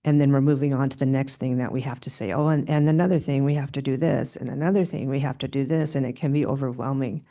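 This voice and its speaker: Brief: soft-spoken superintendent giving hearing testimony, gravelly and dark-toned. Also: mid-range voice, steady tone, fast talker, hardly any pauses. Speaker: school superintendent.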